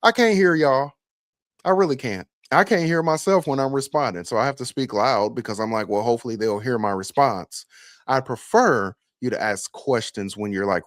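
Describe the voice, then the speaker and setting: A man's voice: fairly low pitch, normal speed, dry recording, clean studio sound. An American man giving casual, opinionated reaction commentary as a bus operator and host, emphatic and fond of rhetorical questions.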